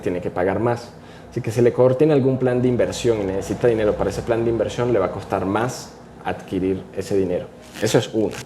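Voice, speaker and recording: A man, a vlogger giving emphatic, opinionated political commentary to camera, sometimes rushing and sometimes slowing for stress. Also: fairly low pitch, warm tone, slight background noise. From Venezuela. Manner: emphatic, opinionated, varying pace.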